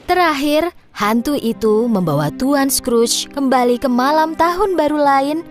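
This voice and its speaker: A woman's voice: sits high, lively intonation, measured pace, soft background music. A woman reading scripted narration for a children's story as the narrator.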